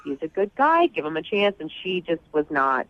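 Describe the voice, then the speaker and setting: Animated woman on a dull phone line. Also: low voice, normal speed. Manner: apologetic, explaining herself. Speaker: radio show caller. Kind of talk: casual phone-in conversation. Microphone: phone call to a radio studio.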